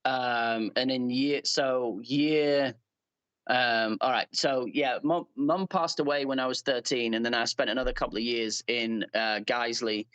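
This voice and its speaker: Earnest halting English man, dry sound. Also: medium pitch, steady tone, a bit bright, clean tone, no room sound, muffled recording. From Leeds, England. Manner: halting, many fillers and restarts, earnest. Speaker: guest player. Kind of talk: casual chat.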